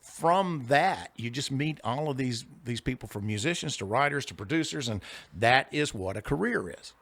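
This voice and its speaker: A man's voice: medium pitch, animated, moderate pace, fairly bright tone, no echo. An older man with a big bluesy singer's voice, telling career anecdotes in a chatty interview with jokey asides, recorded in a studio. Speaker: singer-songwriter guest. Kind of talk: casual interview storytelling.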